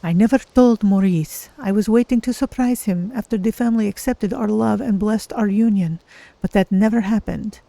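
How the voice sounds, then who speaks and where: A woman's voice: mid-range voice, normal speed, gravelly, few pauses, warm tone, dry recording, close to an everyday voice. A woman playing a betrayed, dying young woman, bitter and sorrowful, in a video game monologue.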